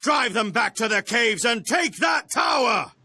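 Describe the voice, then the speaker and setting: An actor playing a zealous, vengeful army captain in a fantasy war game, declaiming in a rousing, rough-edged voice. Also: male voice, very high voice, animated, unhurried, pushed and bright, no room sound, raised voice, exaggerated voice.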